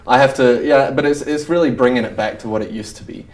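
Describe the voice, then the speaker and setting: A man from Scotland with a softened Scottish accent, a stage actor chatting easily in an interview. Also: medium pitch, fast talker. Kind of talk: casual interview chat.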